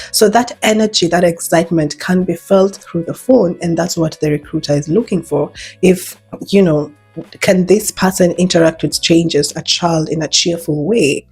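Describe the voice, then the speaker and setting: A Kenyan woman with a low, somewhat husky voice gives practical job tips in a vlog. She speaks conversationally and leans hard on her main points, repeating them for emphasis. Soft music plays faintly behind her. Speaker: English teacher giving job advice. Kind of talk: advice vlog, speaking to camera.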